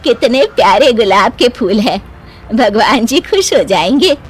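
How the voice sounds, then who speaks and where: A woman voicing a proud, stubborn young married woman in an animated moral story, with a bossy, emphatic way of talking. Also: on the high side, measured pace. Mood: proud, cheerful.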